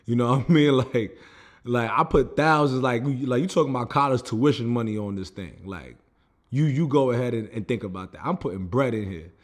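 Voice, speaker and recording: A young American man talking straight to camera about his own setbacks. His delivery is loose and conversational, full of fillers and restarts, at a mid-range pitch. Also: fairly quick. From United States. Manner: loose, conversational, full of fillers like "you know what I mean". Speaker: vlogger. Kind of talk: casual personal storytelling.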